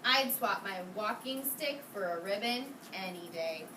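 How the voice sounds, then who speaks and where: A woman's voice: mid-range voice, animated, measured pace, pitched below the performer's own voice, natural voice. Woman reading as a mildly bothered storybook badger. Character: grumbling storybook badger wanting a ribbon. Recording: roomy, noisy classroom from a distance.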